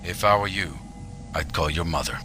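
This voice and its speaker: An actor playing a ruthless military general in a science fiction audio drama, cold, grave and deliberate in manner. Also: male voice, low-pitched, music in the background, natural voice. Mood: quietly menacing.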